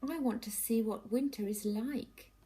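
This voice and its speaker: A woman's voice: on the high side, unhurried, warm tone, pitched above the performer's own voice. A woman voicing a young female tortoise who speaks earnestly and plaintively in a storybook. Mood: stubborn. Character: young female tortoise, stubborn then sad.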